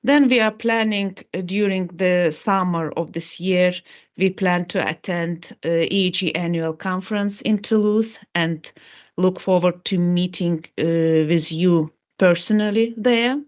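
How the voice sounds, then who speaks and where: A woman closing a student chapter presentation over a video call, speaking in a measured way with filler pauses. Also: fairly low pitch. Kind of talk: presentation wrap-up. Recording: dull lo-fi web call audio.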